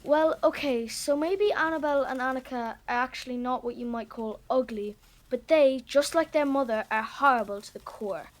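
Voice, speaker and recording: An actress playing a sulky, headstrong schoolgirl in a children's audio drama, blunt and expressive. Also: high voice, moderate pace, close to an everyday voice. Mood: scornful. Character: sulky, headstrong schoolgirl talking to her pet rodents.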